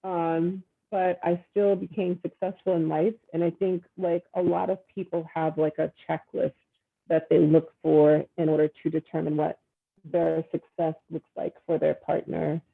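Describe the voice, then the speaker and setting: Woman on a video call, gravelly and earnest, thinking aloud with fillers, thin phone-line sound. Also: low-pitched, little pitch movement, unhurried. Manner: earnest, reflective, many fillers. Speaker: dating guest. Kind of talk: casual video-call conversation.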